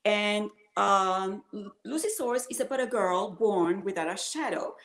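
A woman's voice: medium pitch, measured pace, fairly bright tone. A woman author from Puerto Rico answering on a video-call panel, hesitant and thoughtful. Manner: hesitant, thoughtful, many fillers. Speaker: author and illustrator. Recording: roomy echo on video call.

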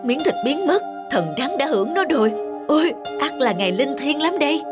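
A woman's voice: high voice, animated, moderate pace, loud music behind the voice. A woman voicing a poor, pious, superstitious male farmer in a children's cartoon, with a fretful, reverent manner. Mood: awed.

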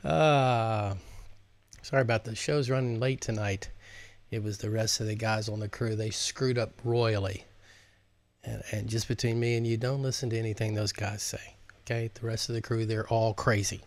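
A man's voice: on the low side, fairly even intonation, normal speed. A man in his fifties hosting a live stream, speaking as an author who chats informally and reads his audiobook aloud. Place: indoor studio, close up, virtual backdrop. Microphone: large microphone on a stand in front of him.

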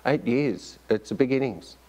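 A man's voice: mid-range voice, animated, normal speed, a little mellow. A middle-aged man hosting an arts interview show, asking questions with many fillers and restarts. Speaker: host. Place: studio with staircase.

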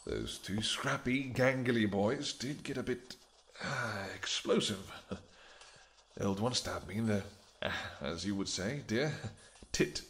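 A man playing a suave male vampire king, smooth, flirtatious and dryly amused, in an audio roleplay. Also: lowish voice, slow pace, fairly bright tone, a little hiss or hum. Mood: wry. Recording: long reverb with music behind.

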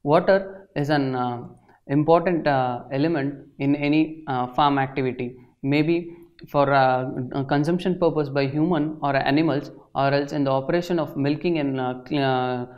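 A young man gives an explanatory lecture in a halting, hesitant way, with frequent filled pauses. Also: a little high, steady tone. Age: young adult. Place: indoor studio at a desk. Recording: slightly dull, lo-fi sound.

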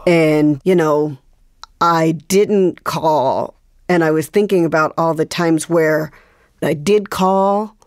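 Female interview guest, low register, reflective and hesitant, dry recording. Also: no echo. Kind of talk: reflective conversation.

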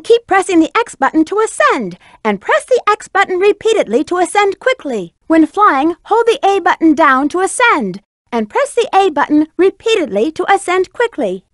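A woman's voice: very high voice, fairly bright tone, dry recording, studio quality, heavily acted. A woman voicing a sassy, flirty female bat treasure hunter in a video game, teasing and self-assured. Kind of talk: video game character voice acting.

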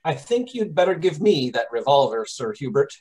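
A man's voice: on the high side, big pitch swings, normal speed, darkish tone, poor audio. A man playing an exacting, imperious detective with dry delivery in an old-time radio mystery. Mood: grave, probing. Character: brisk, exacting, commanding master detective. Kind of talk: 1930s radio mystery acting.

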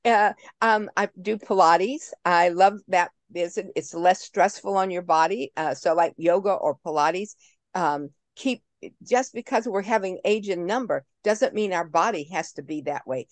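A woman's voice: on the low side, measured pace, dry recording. Woman over fifty, actress guest; brassy, blunt, playful delivery, dry sound. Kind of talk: video call interview. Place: home room.

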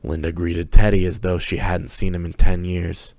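A man's voice: sits low, level tone, normal speed, gravelly, darkish tone, no echo, clean studio sound. A man narrating prose fiction as a dramatic reading.

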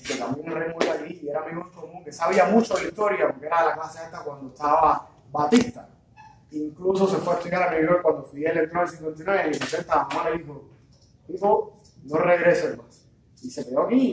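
Echoey Cuban man speaking up in a lecture hall. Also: a little high. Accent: Cuban Spanish. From Cuba. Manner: animated, gestures while speaking, restarts and fillers. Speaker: audience member. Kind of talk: impassioned public comment. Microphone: far phone camera. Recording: very echoey hall, distant, noisy.

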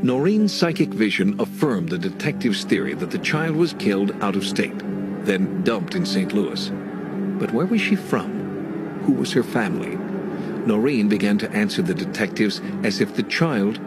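Male narrator, low register, measured and grave; scripted documentary read with a music bed. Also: very animated.

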